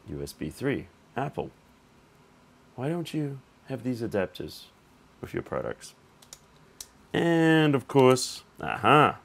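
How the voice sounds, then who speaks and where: A man with a slightly hoarse voice hosting a casual unboxing vlog, thinking aloud in an informal, conversational way. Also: fairly low pitch. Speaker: tech reviewer and channel host.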